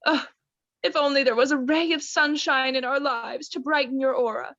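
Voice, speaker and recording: A woman acts a doting, aura-minded wife in a high voice, close and dry on a lo-fi recording. She soothes with affected gentleness and can turn prim, as in a comic radio play. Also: fairly even intonation, no room sound. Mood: soothing, doting. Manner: affectedly soothing therapy-speak, drawn-out. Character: doting New Age wife, soothing but snippy. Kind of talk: student radio play acting.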